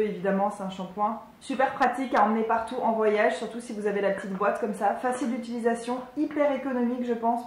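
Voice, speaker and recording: A woman's voice: on the high side, echoey room, low-quality recording. A woman in her twenties chatting casually and enthusiastically as a beauty vlogger, with lots of fillers. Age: 20s. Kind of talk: casual product review.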